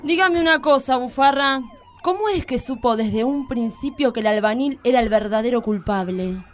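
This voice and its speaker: An actress playing a stern judge who questions the inspector in a measured way in an amateur radio play. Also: female voice, fairly high pitch, natural voice.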